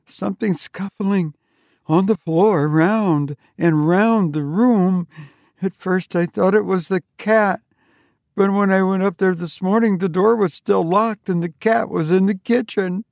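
A harsh, miserly old woman, voiced by a man reading aloud, speaks in a grim, suspicious tone. The voice sits well above a man's usual pitch, with a gravelly grain. The delivery is slow and the sound is dry and close. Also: dry recording. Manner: grim, sharp, exasperated.

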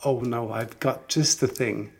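Young storybook heroine, played by a man: earnest, informal, strongly gravelly with vocal fry. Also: medium pitch, steady tone, measured pace. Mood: delighted. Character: earnest, hardworking young woman, Cinderella type. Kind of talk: storybook character reading.

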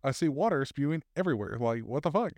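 A man's voice: fairly high pitch, animated, fast, a little mellow, no room sound. A man co-hosting a casual podcast, reading posted stories aloud with fillers and laughs.

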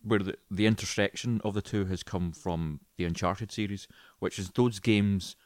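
A man's voice: low voice, steady tone, normal speed, darkish tone, no room sound, studio quality. A man co-hosting a casual podcast, giving his opinions and often acting out imagined reactions. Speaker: podcast co-host and film reviewer.

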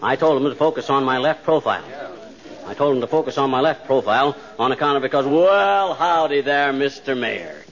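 An actor playing a folksy, bumbling, boastful small-town husband, with breezy frontier talk in 1940s radio comedy style. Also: male voice, mid-range voice, animated, a little fast, a bit bright. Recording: muffled 1940s radio recording.

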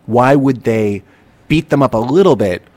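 A man hosting a podcast, talking in an animated, rambling way and often restarting his sentences as he thinks aloud. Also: medium pitch, moderate pace, no room sound. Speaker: podcast host. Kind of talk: casual conversational chat.

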